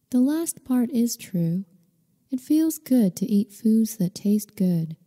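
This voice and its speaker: A woman guiding a meditation in slow scripted narration, with a soothing, unhurried, gently instructing manner. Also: medium pitch. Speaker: meditation guide. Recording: long reverberant hall-like echo.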